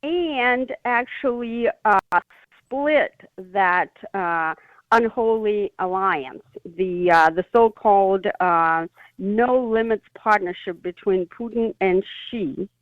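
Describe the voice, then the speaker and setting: A woman giving expert analysis as a radio interview guest, deliberate and emphatic, with halting phrasing. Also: medium pitch, no echo. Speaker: expert guest, former intelligence officer. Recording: dull, band-limited radio guest line.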